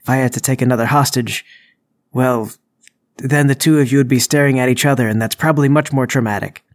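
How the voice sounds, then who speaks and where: A man voicing a nervous burglar in a tabletop game, halting and stammering as he bluffs and over-explains. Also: mid-range voice, fairly even intonation, natural voice. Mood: smug, menacing.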